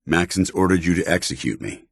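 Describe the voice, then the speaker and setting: An actor playing a stoic, honour-bound android soldier in a video game, tormented yet earnest, with formal military diction. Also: male voice, low-pitched, sing-song, a little fast, creaky, breathy edge, dry recording, studio quality, exaggerated voice. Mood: grave, resigned. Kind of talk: video game companion dialogue acting.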